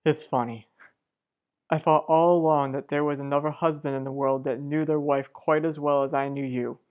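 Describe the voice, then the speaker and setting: A woman playing a mild, kindly husband in an old-time radio soap, talking in a measured, affectionate way. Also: low voice, fairly even intonation, a little mellow, close to an everyday voice. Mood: measured, reflective. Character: mild, steady, kindly husband devoted to work.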